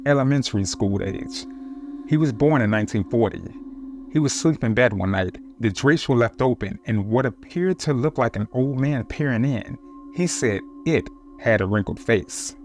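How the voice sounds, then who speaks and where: A man narrating true-encounter horror stories in a steady, measured reading voice. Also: medium pitch. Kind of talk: scripted horror story narration.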